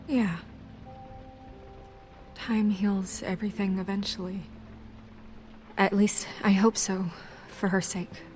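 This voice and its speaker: An actress playing an earnest, worried but firm young woman in a noir video game, measured and sometimes hesitant. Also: medium pitch, little pitch movement, a little mellow, lightly acted. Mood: hesitant, gentle. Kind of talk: naturalistic video game voice acting. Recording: music under the dialogue.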